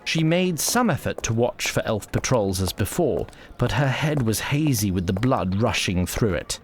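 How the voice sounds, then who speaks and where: Male fantasy narrator, lowish voice. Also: animated, moderate pace. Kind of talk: scripted storybook narration.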